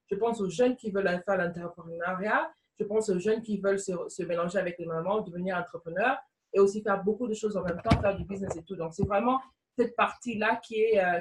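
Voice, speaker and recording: A woman's voice: medium pitch, distant mic, poor audio. A woman from Congo, a guest entrepreneur, speaking earnestly in a conversational discussion and repeating phrases for emphasis.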